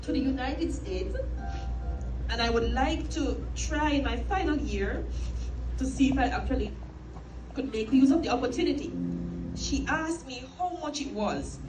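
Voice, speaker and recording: A Jamaican woman in middle age delivers a written eulogy in a fairly high voice. She tells it in a measured, formal way. The sound is distant and echoey, as in a church, with clear music behind her. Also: animated. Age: middle-aged. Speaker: eulogist, sister of the deceased. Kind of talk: scripted eulogy read aloud. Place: inside a church.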